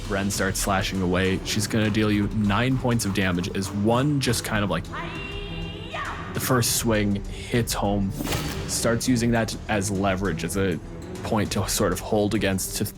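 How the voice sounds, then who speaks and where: A man's voice: fairly low pitch, fairly even intonation, unhurried. Male game master over prominent music. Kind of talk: narrating a tabletop game.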